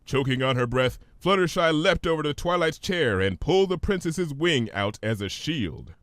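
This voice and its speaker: A man's voice: a little high, lively intonation, normal speed, fairly bright tone, no echo. A man reading a scripted story aloud as narrator. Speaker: fan fiction reader, narrator.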